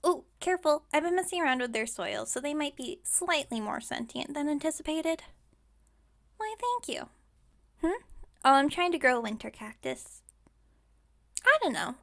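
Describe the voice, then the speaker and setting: A woman's voice: on the high side, creaky, a bit bright, full character voice. A woman playing a scatterbrained, overconfident witch shopkeeper in an ASMR roleplay, chatting breezily and musing aloud with great self-assurance. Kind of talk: ASMR roleplay audio drama.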